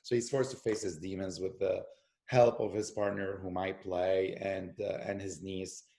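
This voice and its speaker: A man with a gravelly, creaky voice chats through a loose, rambling interview. He stops and restarts often and piles on fillers as he tells his stories. The room around him is noticeably echoey. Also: sits low, normal speed. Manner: animated storyteller with many fillers and restarts. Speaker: actor and podcast guest. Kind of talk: casual interview conversation.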